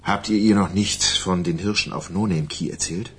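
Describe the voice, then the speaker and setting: A man playing a good-natured, self-deprecating journalist in a radio drama, very husky, with a casual delivery. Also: low-pitched, moderate pace, heavily acted. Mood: friendly, teasing. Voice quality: very husky, rough. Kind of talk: German radio drama dialogue.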